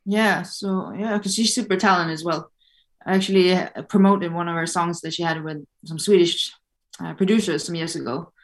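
A woman chats as a podcast guest in an easygoing, thoughtful way, leaning on fillers as she thinks aloud. Her voice sits on the lower side for a woman. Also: fairly even intonation, moderate pace. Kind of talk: casual interview chat.

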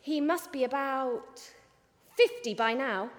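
A woman's voice: high-pitched, expressive, slow pace, big-room sound. A woman voicing a young female cub reporter, eager to please and a little nervous, in an audiobook. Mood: eager, informed. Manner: tentative, hesitant, eager to please. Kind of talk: audiobook character voice.

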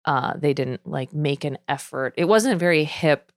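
A woman from the United States, a poet guest on a podcast, chatting casually with quick asides. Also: low voice, animated, no echo, clean studio sound. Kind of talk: casual conversational chat.

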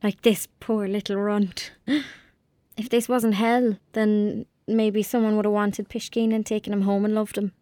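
A woman's voice: mid-range voice, flat delivery, measured pace, dark tone, natural voice. Earnest young Irish girl, played by a woman. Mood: protective, bitter. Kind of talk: naturalistic audio drama acting.

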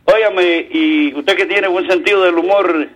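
A Cuban man with a high voice gives his political opinions as a caller on talk radio. His delivery is colloquial and sardonic, leaning on street idioms and pointed sayings. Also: moderate pace, a bit bright.

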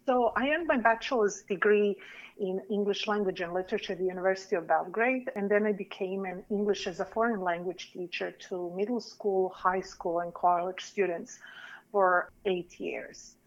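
A woman's voice: mid-range voice, lo-fi sound. A woman in her forties from Serbia, with a Serbian accent, telling her story in a careful, earnest podcast interview as a PhD candidate. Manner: measured, earnest, careful academic phrasing.

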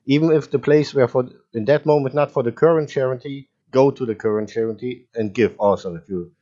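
A man's voice: mid-range voice, a little fast, mellow, muffled recording. A man co-hosting a podcast, chatting casually with dry teasing humor and frequent laughter. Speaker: podcast co-host.

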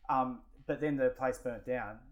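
Aussie guy in his 40s, rambling chat, lots of ums and swearing, mid pitch. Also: measured pace, crowd noise, poor audio. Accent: Australian. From Australia. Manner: rambling, swears freely, many fillers and restarts. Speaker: guest. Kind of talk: casual storytelling.

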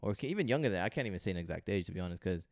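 A man's voice: sits low, expressive, rapid-fire, darkish tone, dry recording, studio quality. A young man co-hosting a podcast, talking in a laid-back, slangy way full of "you know.". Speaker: podcast co-host. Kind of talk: casual chat.

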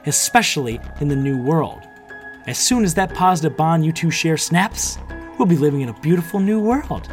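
A man's voice: medium pitch, huge pitch swings, fairly quick, hardly any pauses, a little mellow, faint music behind. A man voicing a wicked woman spellcaster in an audiobook, with a taunting, menacing, emphatic delivery. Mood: gloating, ominous.

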